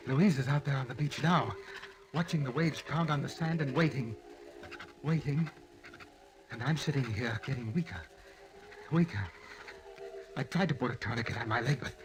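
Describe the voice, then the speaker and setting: An actor playing a desperate, weakening wounded husband, halting and melodramatic, in an old-time radio drama. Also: male voice, medium pitch, natural voice. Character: desperate wounded husband, bitter lifelong fumbler, slowly weakening. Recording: noisy vintage radio recording.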